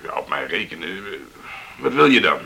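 An actor playing a gruff, wary boatman in a Dutch radio play, musing and echoing questions back. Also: low-pitched, sing-song, moderate pace, sharp tone, exaggerated voice.

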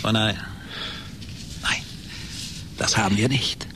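An actor in a radio play playing a vengeful, obsessed genius inventor who speaks haltingly and theatrically. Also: male voice, on the low side, fairly even intonation, background noise. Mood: bitter. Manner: halting, theatrical, repeats words for emphasis.

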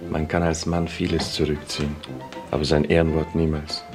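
A man's voice: extremely low pitch, monotone, normal speed, over loud music. A man playing a torn, self-important fellow who clings to his honour, in a radio drama. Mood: tormented, grave.

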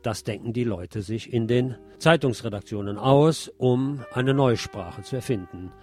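A man presenting scripted radio news commentary, reading deliberately and emphatically with wry asides. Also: mid-range voice, mellow, soft background music.

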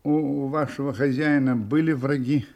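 An actor playing a courteous, methodical detective in an audio drama, with a deliberate, probing manner. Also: male voice, medium pitch, steady tone, darkish tone, muffled recording, natural voice. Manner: polite, probing, deliberate questioning. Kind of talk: Russian audio drama acting.

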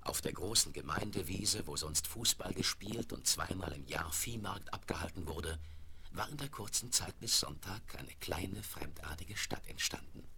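A man's voice: sits low, moderate pace, vocal fry. A man playing the storyteller of a children's radio play, reading scripted narration. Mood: narrating. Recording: crackly 1970s vinyl record rip.

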